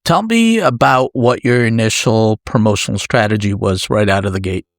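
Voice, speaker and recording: A man hosting a podcast interview, asking measured questions in short conversational phrases. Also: on the low side, no echo, studio quality.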